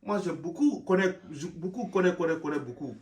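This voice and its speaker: An actor playing a boastful man newly arrived from abroad who wants to be a teacher, speaking halting broken French with many stammers. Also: sits high, lively intonation, a little mellow. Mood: earnest. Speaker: sketch comedy actor. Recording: roomy sound, from some distance.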